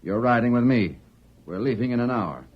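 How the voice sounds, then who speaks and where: An actor playing a cocky, domineering swindler in an old radio western, curt and sure of himself. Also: male voice, medium pitch, lively intonation, moderate pace, lightly acted. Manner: curt, confident, bossy. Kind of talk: 1940s radio western acting. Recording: dull old radio recording.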